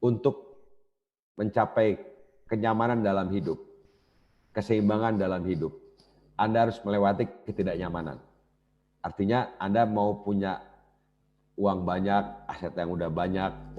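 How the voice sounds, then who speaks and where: Low mellow guy, talking to the crowd like a coach, muffled old tape sound. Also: fairly even intonation, a little slow, pauses often. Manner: casual, addresses audience directly. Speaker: business speaker. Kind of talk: motivational seminar talk. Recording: dull lo-fi cassette recording.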